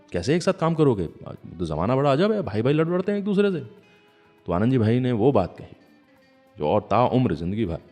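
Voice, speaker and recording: A man's voice: fairly low pitch, darkish tone, long reverb tail. A man hosting a radio show, telling stories in a conversational way. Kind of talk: conversational storytelling radio presentation. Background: music.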